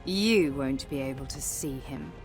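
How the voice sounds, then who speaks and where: A woman's voice: extremely low pitch, unhurried, over music. An actress playing a mocking, bitter female villain in a video game, taunting and theatrical. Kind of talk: dramatic video game acting.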